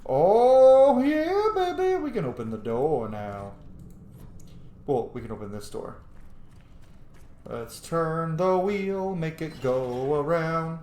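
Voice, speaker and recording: A man commentates on a video game in a casual, sweary, playful way, muttering his thoughts aloud. His voice sits a little high and swings widely in pitch. The room is echoey, with faint noise behind him. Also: big pitch swings, slow. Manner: sweary, playful, thinking aloud, bursts into silly shouts. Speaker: streamer playing a role-playing video game. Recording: roomy echo, faint background noise.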